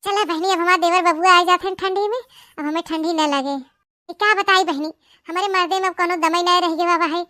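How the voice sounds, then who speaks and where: A shrill, flirty village sister-in-law. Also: female voice, fairly even intonation, a little fast, sharp tone, no room sound, studio quality. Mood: scheming. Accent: Awadhi. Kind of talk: cartoon comedy acting in rural dialect.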